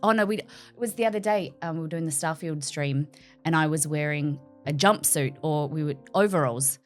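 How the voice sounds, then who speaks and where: Australian woman, low register, casual guest chat, words carefully articulated. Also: moderate pace, faint music behind. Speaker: cooking streamer guest.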